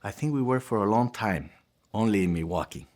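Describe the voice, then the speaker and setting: A man's voice: on the low side, lively intonation, a little fast, darkish tone. A man from Cuba, answering interview questions earnestly as a music director. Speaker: music director and guitar professor.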